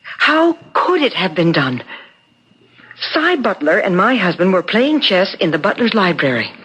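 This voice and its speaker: An actress playing an earnest, suspicious wife in a 1970s radio drama, probing and insistent. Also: female voice, mid-range voice, very animated, unhurried, fairly bright tone. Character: earnest, suspicious wife urging on an amateur investigation. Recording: 1970s radio broadcast, faint noise.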